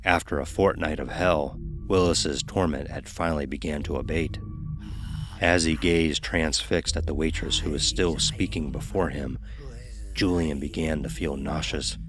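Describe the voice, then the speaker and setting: A man narrating a scripted horror story in a measured, dramatic, ominous manner. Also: very deep voice, steady tone, music in the background.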